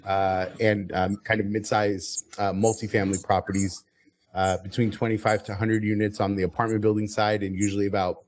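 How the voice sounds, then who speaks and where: Low-voiced American man explaining things. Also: flat delivery, fairly quick, a little mellow. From United States, Southern California. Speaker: podcast guest. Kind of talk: interview conversation.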